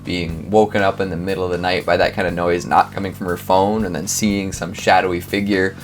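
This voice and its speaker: Low male voice, wry rambling host, casual comedic reactions, animated, music behind. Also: brisk. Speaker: host reacting to scary clips.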